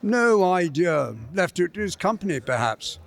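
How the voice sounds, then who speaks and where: A man plays an offhand businessman in a radio drama. His fairly high voice swings widely in pitch, while his manner stays dry and indifferent. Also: very animated, normal speed, creaky, heavily acted. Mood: dry amusement. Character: indifferent, dryly joking Victorian businessman. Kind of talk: stage radio play acting.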